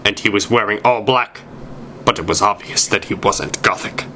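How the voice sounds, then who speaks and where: A man reading a story aloud as narrator, with deliberate, emphatic, amateur dramatic delivery. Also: fairly low pitch, pushed and bright, a little hiss or hum.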